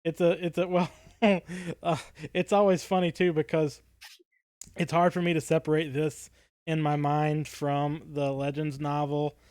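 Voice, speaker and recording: A man co-hosting a podcast in casual, analytical discussion, halting and repeating words as he builds a point. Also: on the high side, little pitch movement, a little mellow, clear, resonant tone, dry recording, studio quality. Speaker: podcast co-host.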